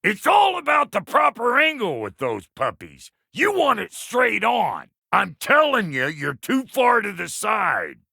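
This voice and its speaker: A man voicing a gruff, cranky, foul-mouthed but fond dwarf blacksmith in a video game, with a raspy, folksy drawl. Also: sits high, huge pitch swings, unhurried, few pauses, bright, forceful tone, no echo, clean studio sound, exaggerated voice. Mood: encouraging. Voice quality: gravelly, raspy. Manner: folksy, crude, blustering drawl. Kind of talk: video game character dialogue.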